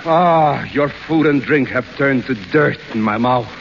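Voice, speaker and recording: A man's voice: mid-range voice, measured pace, lightly acted. Guy doing a dramatic sponging houseguest, huffy, old radio sound. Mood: affronted. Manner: melodramatic, affronted. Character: theatrical, sponging male house guest. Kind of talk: 1930s radio acting. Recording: muffled old radio broadcast with faint hiss.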